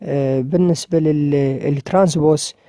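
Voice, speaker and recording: A man with an Iraqi Arabic accent walking viewers through an app step by step, repeating each tap and filling pauses with a drawn-out "ah". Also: on the high side, fairly even intonation, dark tone, dry recording, clean studio sound. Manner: repetitive, pointing out each tap with drawn-out "ah" fillers. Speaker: app tutorial maker. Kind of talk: step-by-step screen tutorial.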